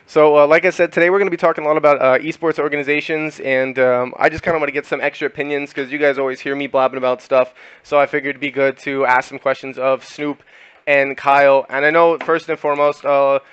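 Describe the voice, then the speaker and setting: A man's voice: on the high side, flat delivery, fast, fairly bright tone, clean tone, dry recording, highs cut off. A young man hosting a gaming podcast, chatting loosely with many fillers and laughs. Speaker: podcast host. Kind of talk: casual podcast chat.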